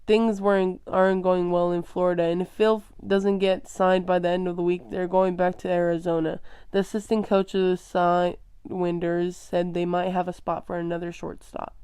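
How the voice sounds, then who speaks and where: A woman's voice: on the low side, flat delivery, moderate pace, warm tone, smooth, no echo, clean studio sound, lightly acted. A woman voicing an anxious small-town father with a country accent, pleading and frightened. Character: worried small-town middle-aged father.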